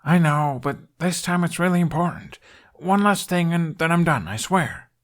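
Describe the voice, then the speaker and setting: A man's voice: a little high, expressive, normal speed, voice pushed up, natural voice. A man voicing a wry, evasive young prince in a story reading, with a casual, sardonic manner. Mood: pleading.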